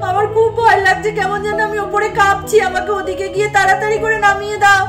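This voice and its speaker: A woman's voice: very high voice, level tone, fast talker, over music. A woman voicing a timid, frightened little village girl in a doll story, pleading in a singsong way. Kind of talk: amateur doll-story voice acting.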